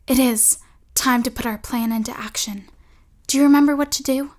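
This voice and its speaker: A woman playing a clever, ruthless young elder sister plotting in a period audio melodrama, cool, commanding and deliberate. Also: a little high, steady tone, darkish tone, lightly acted. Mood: secretive, commanding.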